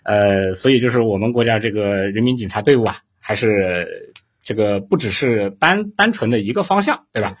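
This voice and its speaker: Husky man hosting a livestream. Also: on the low side, animated, moderate pace. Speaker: livestream host. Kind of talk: casual livestream interview.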